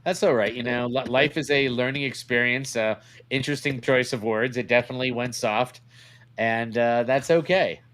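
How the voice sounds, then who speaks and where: A man's voice: medium pitch, steady tone, moderate pace, a bit bright. A man co-hosting a podcast in a casual, opinionated conversational style. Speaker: podcast co-host.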